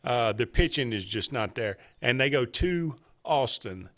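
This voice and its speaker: A laid-back man hosts a casual sports show. He hesitates and leans on fillers as he reads out the schedule and reacts to his co-host. His voice is an ordinary man's pitch. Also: expressive, unhurried, fairly bright tone.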